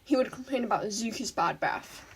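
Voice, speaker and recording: A woman's voice: medium pitch, animated, fairly quick, breathy edge. A woman reading fan fiction aloud as a scripted story narration.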